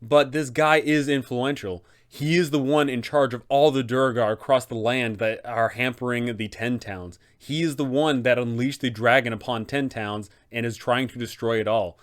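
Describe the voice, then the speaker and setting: A young man talks as the host of a tabletop game advice video. His pitch is average for a man. He explains things with emphasis and enthusiasm. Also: fairly even intonation, moderate pace, few pauses. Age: young adult. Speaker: host, game master advisor. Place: small room indoors, seated.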